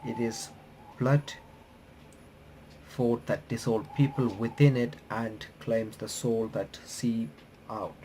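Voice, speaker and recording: A man doing a casual visual novel playthrough, reading the on-screen text aloud in a halting, stumbling way and reacting as he goes. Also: medium pitch, steady tone, mellow, slight background noise, low-quality recording. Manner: halting, stumbling reader who rereads phrases.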